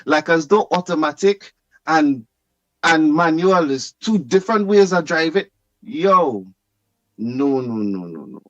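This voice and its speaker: A man's voice: a little high, expressive, a little slow, fairly bright tone, no echo, lo-fi sound. A Trinidadian man calling in to a talk show, laughing often and repeating himself emphatically. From Trinidad and Tobago. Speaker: caller. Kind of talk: animated phone-in rant.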